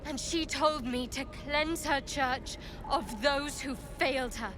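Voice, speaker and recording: A proud, hardened young priestess from a fantasy video game. Her voice sits high and she speaks slowly and deliberately, solemn and haughty. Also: background noise. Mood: solemn resolve. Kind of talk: dramatic fantasy game acting.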